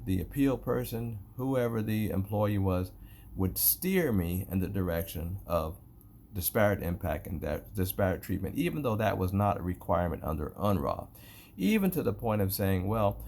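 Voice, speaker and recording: Deep, gravelly-voiced American man. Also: darkish tone, a little hiss or hum. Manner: halting, repetitive, emphatic. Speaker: complainant narrating own video. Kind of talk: unscripted spoken commentary on a grievance.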